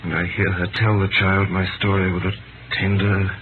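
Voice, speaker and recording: An actor playing a calm, tender man resigned to death, in solemn, measured 1930s radio drama style on a scratchy old recording. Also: low voice, narrow, tinny audio, natural voice. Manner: solemn, measured, theatrical delivery.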